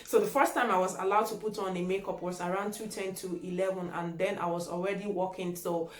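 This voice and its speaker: A woman's voice: on the low side, steady tone, fairly quick. Nigerian yoruba-speaking woman vlogging, chatty, says stuff twice, echoey room. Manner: chatty, repeats herself for emphasis. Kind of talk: casual chat answering tag questions.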